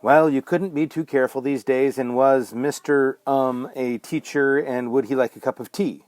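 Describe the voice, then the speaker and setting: A man's voice: medium pitch, fairly even intonation, normal speed, a little mellow, dry recording, pitched above the performer's own voice, lightly acted. Guy doing a suspicious mum in a story, tight-lipped, terse, coolly polite, dry audio. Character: girl's mother, proper, terse, suspicious, icily polite.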